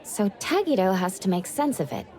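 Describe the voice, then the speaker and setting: A woman's voice: medium pitch, huge pitch swings, moderate pace, dark tone. A woman playing a polite, level-headed young woman in an anime game, asking thoughtful questions courteously. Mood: musing, curious. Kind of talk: anime game dialogue acting. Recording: music and faint crowd behind.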